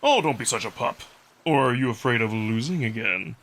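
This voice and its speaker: Man voicing a playful, competitive wolf prince, forceful and lively. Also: medium pitch, brisk, slight background noise. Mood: playful, excited. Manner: teasing, cheerful, self-assured. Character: playful, competitive young male wolf prince with big furry body. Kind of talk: visual novel character acting.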